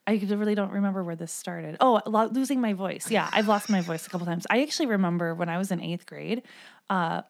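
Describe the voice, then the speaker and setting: A woman's voice: medium pitch, brisk, creaky. A woman co-hosting a podcast, chatting casually about a show with filler words and self-deprecating asides. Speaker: podcast co-host. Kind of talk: casual chat.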